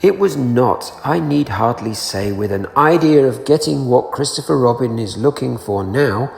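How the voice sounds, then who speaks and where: Storybook donkey, male reader: glum, pompous, slow and drawn out, gravelly edge, echoey sound. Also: mid-range voice, expressive, darkish tone. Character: gloomy, self-important old grumbling donkey.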